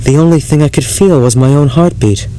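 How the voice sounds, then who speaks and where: An actor playing an unhinged, obsessive ex-lover confessing darkly in an audio drama monologue, halting and brooding. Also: male voice, on the high side, dark tone, slight background noise, lightly acted, rough recording. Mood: manic, intense. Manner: halting, brooding, breaking into unhinged laughter and intensity.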